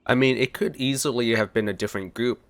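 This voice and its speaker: A man's voice: medium pitch, fast talker, background noise. A man giving casual let's play commentary as a streamer. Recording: faint game audio behind the voice. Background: video game sounds.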